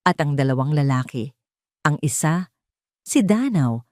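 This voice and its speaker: A woman's voice: low-pitched, expressive, a little slow, darkish tone, no echo, clean studio sound. A woman with a Filipino accent reading a scripted dramatic story as its narrator. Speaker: narrator of audio drama stories.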